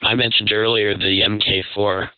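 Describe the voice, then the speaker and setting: Dull, lo-fi sound with very little room carries a man's slightly low voice. He offers opinions as a panelist in casual conversation. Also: little pitch movement, moderate pace, clean tone, no echo.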